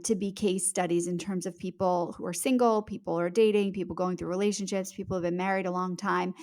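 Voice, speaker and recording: A woman speaking as an interviewed author in a conversational podcast style, explaining her points emphatically in measured phrases. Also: medium pitch.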